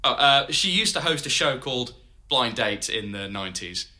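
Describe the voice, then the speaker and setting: A curious, good-humoured male interviewer in an audio drama. His voice is very bright and forward, with lively intonation and a natural conversational delivery. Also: medium pitch, moderate pace. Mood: teasing, curious.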